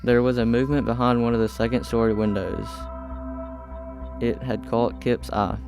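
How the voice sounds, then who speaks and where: A man's voice: mid-range voice, fairly even intonation, moderate pace, a little mellow, faint music behind. A young man reading scripted story narration for an amateur radio play. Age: teenager or young adult.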